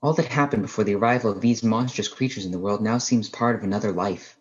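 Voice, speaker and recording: An actor playing a haunted astronomy professor, lone survivor of an alien invasion, narrating a radio play in a halting, brooding, literary way. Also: male voice, on the low side, muffled recording, slight background noise, close to an everyday voice. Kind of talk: dramatic first-person radio play narration.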